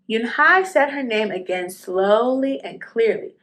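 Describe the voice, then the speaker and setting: A woman reading a children's picture book aloud as an expressive narrator. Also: fairly high pitch, unhurried.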